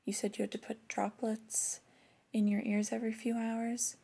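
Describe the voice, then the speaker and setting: Woman as flirty, disappointed boss for ASMR roleplay. Breathy, whispery, creaky low register in delivery, intimate reprimands. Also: little pitch movement, mellow. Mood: reproachful, skeptical. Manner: slow, deliberate, intimate reprimanding. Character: flirty, disappointed female store boss scolding an employee. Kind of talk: soft-spoken ASMR roleplay.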